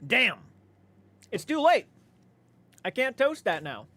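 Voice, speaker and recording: A man's voice: very high-pitched, sing-song, slow pace, bright, forceful tone. A man, maybe in his thirties, chatting casually as a livestream host, laid-back and conversational, swearing freely and responding to viewer comments.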